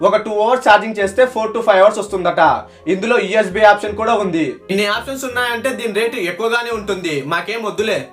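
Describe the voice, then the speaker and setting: A man's voice: sits high, quick pace, a bit bright, soft background music. A man narrating a scripted tech product review as a host, in a casual, playful way.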